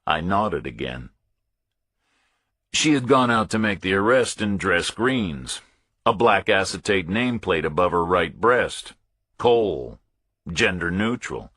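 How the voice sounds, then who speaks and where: A man reads a first-person thriller audiobook in a low, raspy voice with plenty of movement in pitch. His delivery is terse and matter-of-fact, storytelling in short phrases. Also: very animated, measured pace, a bit bright, no echo. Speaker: audiobook narrator.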